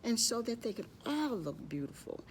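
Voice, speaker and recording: A woman's voice: on the high side, very animated, normal speed, slight background noise, low-quality recording. A woman hosting a children's library storytime, speaking to young children as she tells stories and shows crafts, often referring to herself in the third person.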